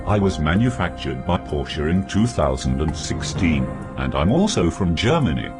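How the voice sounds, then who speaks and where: Brief: man playing a sports car contestant, extremely low pitch with lively swings, quiz parody. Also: normal speed, music in the background. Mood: plain. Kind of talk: scripted game show parody.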